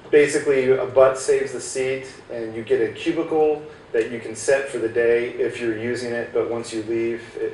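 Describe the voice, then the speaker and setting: American guy giving a chatty talk, lots of uhs, picked up from across a roomy hall. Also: mid-range voice. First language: English. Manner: chatty, many "uh" fillers and restarts. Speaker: guest speaker at a hackerspace. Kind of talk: informal tech presentation to a small audience. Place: small room in a hackerspace with projector. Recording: roomy distant room sound, faint hiss.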